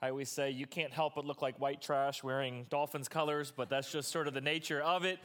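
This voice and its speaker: A middle-aged male pastor with a hoarse, strained voice, preaching casually with rambling asides. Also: medium pitch, fairly even intonation, fairly quick, a bit bright. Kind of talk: sermon.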